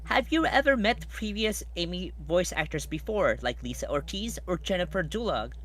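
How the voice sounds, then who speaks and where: A woman moderates a live panel and reads fan questions from the chat. She reads them in a brisk, plain way. Her pitch is on the low side. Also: lively intonation. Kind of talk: reading questions aloud.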